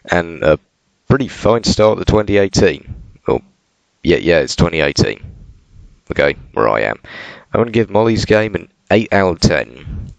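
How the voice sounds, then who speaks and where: A man's voice: deep voice, vocal fry, no room sound, poor audio. A British man giving a casual film review, conversational and sardonic, with joking asides. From United Kingdom.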